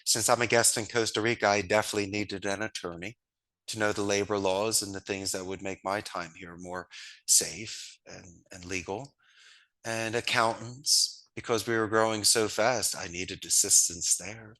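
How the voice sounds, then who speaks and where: A man tells stories with enthusiasm and homespun sayings. His voice sits a little low yet sounds bright and forward. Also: steady tone, normal speed, low-quality recording. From Northeast Philadelphia, USA. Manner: enthusiastic, storytelling, folksy sayings. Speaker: guest, call center founder. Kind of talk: casual interview talk.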